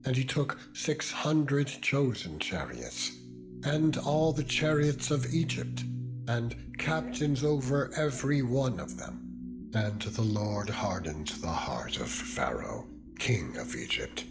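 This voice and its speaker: Slow, solemn male scripture narrator over music. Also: medium pitch, sing-song, gravelly. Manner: deliberate, measured, with weighty phrasing. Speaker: Bible narrator. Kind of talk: solemn dramatized scripture reading.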